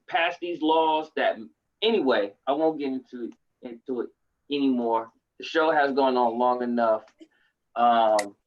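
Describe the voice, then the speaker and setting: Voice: mid-range voice, distant mic, muffled recording. A co-host in casual chat who talks animatedly and repeats words when starting sentences. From United States.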